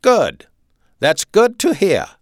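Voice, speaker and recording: A man's voice: high voice, huge pitch swings, slow pace, no echo, studio quality, pitched above the performer's own voice, heavily acted. A man voicing a puny, authoritarian German prison camp commandant in an audiobook, with a staccato, demanding German accent. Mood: coolly probing.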